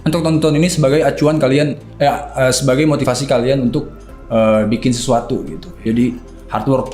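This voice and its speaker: A man's voice: medium pitch, steady tone, brisk, darkish tone. A man in his twenties with a Jakartan Indonesian accent chats casually in slang, repeating words for emphasis. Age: 20s. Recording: echoey room with music underneath.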